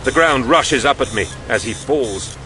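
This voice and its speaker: An actor playing an ancient, devout warrior dying inside a war machine, narrating a science-fiction audio drama in a solemn, grave manner. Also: male voice, mid-range voice, expressive, unhurried. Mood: grim, battle-strained. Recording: loud music behind the voice. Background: dramatic music.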